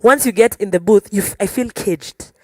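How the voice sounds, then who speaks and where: A woman with a Kenyan accent talking casually as a musician guest on a panel, telling stories in an animated way. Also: medium pitch, moderate pace, no echo. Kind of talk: casual conversational panel talk.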